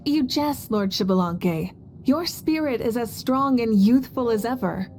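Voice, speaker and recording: A woman playing a composed, respectful young warrior leader in a video game, speaking with calm, formal dignity. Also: on the high side, slow, faint music behind. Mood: warm, amused. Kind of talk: dramatic game dialogue.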